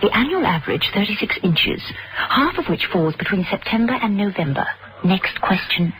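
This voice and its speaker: A woman playing a poised, quick-witted young society lady in a radio drama, cool in her repartee. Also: mid-range voice, big pitch swings, fairly bright tone. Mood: cool, sparring. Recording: noisy vintage radio recording.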